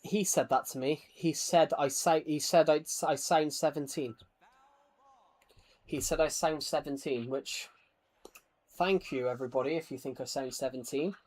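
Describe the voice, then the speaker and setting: High-voiced man chatting on a livestream. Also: moderate pace, darkish tone. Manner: rambling, self-deprecating, stammering repeats. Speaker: live streamer. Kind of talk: casual livestream chat while gaming, reading out viewer comments.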